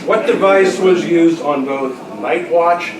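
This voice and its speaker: A man's voice: fairly high pitch, takes it slowly. A man hosting an informal club trivia quiz as quiz master, joking and bantering with hecklers. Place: busy club luncheon room. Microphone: far from the speakers. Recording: echoey, distant mic in noisy crowded room. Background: loud crowd chatter.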